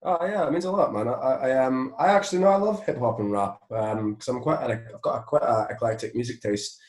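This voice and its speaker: A man's voice: mid-range voice, fairly quick, a little mellow. A Scottish man chatting informally as an interview guest, recorded in a roomy, echoing space. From Scotland. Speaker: interview guest, musician and songwriter. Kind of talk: casual interview chat.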